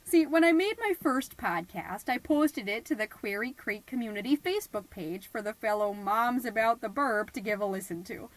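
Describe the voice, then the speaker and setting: A fussy suburban mom played by a woman hosts her homemade podcast in a fairly high voice. She is perky and folksy on the surface, judgmental and passive-aggressive underneath, rambling like a middle-aged neighbor in a scripted comedy audio show. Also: animated, normal speed, hardly any pauses, no echo, lightly acted, rough recording. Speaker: podcast host.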